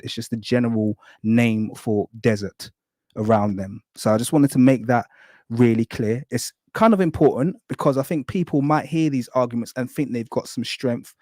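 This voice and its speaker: Mellow man explaining step by step. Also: medium pitch, dry recording, studio quality. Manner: thorough, apologetic, keeps saying really quickly. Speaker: guest explaining hieroglyphs. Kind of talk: live-stream lecture with visual aids.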